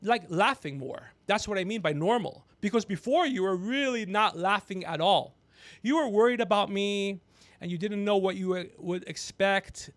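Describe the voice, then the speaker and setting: A gregarious man hosting a podcast, telling rambling comedic stories with animated, teasing asides. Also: sits high, moderate pace, dry recording. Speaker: podcast host. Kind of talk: rambling comedic storytelling on a livestream.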